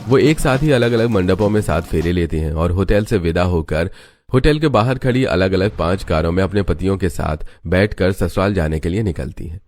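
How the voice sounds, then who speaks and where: A man's voice: sits low, normal speed, darkish tone. A man reading scripted moral-story narration as a storyteller.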